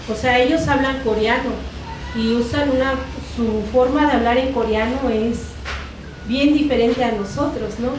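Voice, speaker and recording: High-pitched Mexican woman teaching Bible class. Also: darkish tone. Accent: Mexican Spanish. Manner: earnest, repeats phrases, corrects herself. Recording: echoey room, distant mic, noisy.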